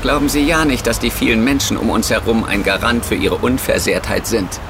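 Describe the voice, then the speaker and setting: An actor playing a polite but menacing chauffeur with a formal, clipped courtesy in a German audio drama. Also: male voice, lowish voice, fairly quick, lightly acted. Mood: politely threatening. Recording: street and car noise behind.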